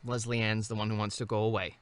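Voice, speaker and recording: An actor in an audio play playing a bookish, devoted teenage son who is earnest and a little defensive. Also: male voice, lowish voice, flat delivery, quick pace, clear, resonant tone, lightly acted. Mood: sullen.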